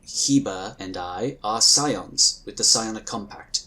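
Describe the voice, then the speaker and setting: A man voicing a calm, dutiful space agent. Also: lowish voice. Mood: calmly insistent. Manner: measured, polite, firm. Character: calm, dutiful male psionic scion agent. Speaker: character in audio drama.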